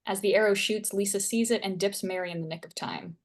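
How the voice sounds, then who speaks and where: A woman's voice: medium pitch, brisk. A woman reading stage directions aloud as scripted narration at a screenplay table read.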